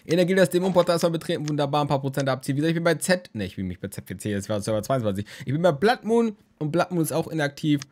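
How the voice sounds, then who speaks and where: A man's voice: a little high, animated, rapid-fire, hardly any pauses, a little mellow, a little hiss or hum. A tired young man who just woke from a nap, casually commentating a browser game with crude jokes, recorded at a desk mic in a room at home. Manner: rambling, jokey, crude humor. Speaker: let's play host.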